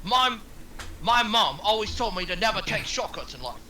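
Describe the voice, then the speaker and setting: Man acting a sharp, high-voiced British crime boss. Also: big pitch swings, normal speed, slight background noise, exaggerated voice. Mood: threatening. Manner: gruff insults, commanding. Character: bald burly fifties British crime boss, bullying and contemptuous. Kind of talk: amateur screenplay table read.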